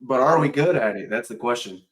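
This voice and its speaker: A man's voice: medium pitch, lively intonation, brisk, rough recording. A man co-hosting a casual podcast, blunt and a little crude as he leads the segment. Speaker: podcast co-host. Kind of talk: casual chat.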